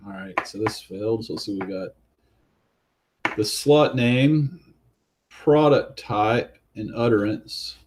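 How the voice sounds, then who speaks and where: A man's voice: fairly low pitch, slow pace, pauses often, background noise. A man with a Southern US accent hosting a study group, walking through a coding lab while thinking aloud. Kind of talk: live coding walkthrough.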